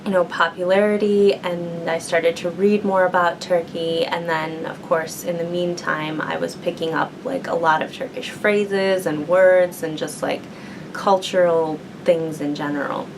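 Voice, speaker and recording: A young woman student speaks in a fairly low voice, thinking aloud as she answers questions and pausing on fillers. A steady hum and rumble sit under the recording. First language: English. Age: young adult. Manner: thoughtful, many ums and likes. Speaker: university student. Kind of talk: interview answers.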